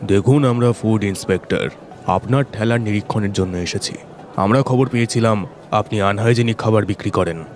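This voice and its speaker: A man's voice: fairly low pitch, darkish tone, slight background noise, lightly acted. A man voicing a stern, bullying food inspector in a moral-story cartoon, officious and commanding.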